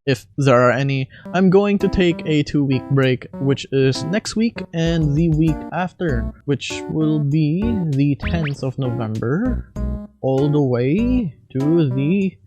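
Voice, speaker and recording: Casting: young adult online host, medium pitch, casual, self-deprecating, music underneath. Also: lively intonation, slow. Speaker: video host and amateur voice impressionist. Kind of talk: casual spoken announcement to viewers.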